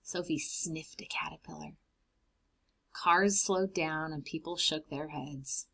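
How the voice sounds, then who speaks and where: A woman reading a children's storybook aloud in an expressive way, explaining things simply to young children. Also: deep voice, takes it slowly, creaky, husky. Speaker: read-aloud host for preschoolers.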